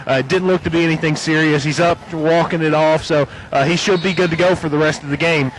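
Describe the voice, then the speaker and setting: A man's voice: a little high, steady tone, slight background noise, low-quality recording. A man giving an injury update from the sideline of a football game, with lots of uhs. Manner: halting, filler-laden delivery. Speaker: sideline reporter.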